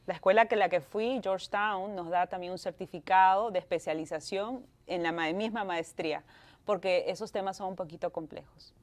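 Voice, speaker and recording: A woman in her thirties from Peru with a Peruvian Spanish accent, a lawyer answering interview questions in a chatty way and slipping in English words. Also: on the low side. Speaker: guest lawyer.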